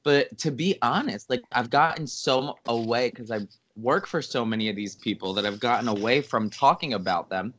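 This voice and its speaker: A man with a slightly raspy voice, a guest on a podcast, chatting in an animated and gossipy way. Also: mid-range voice, moderate pace, hardly any pauses. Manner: animated and gossipy, says "baby" and "babe". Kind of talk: casual chat.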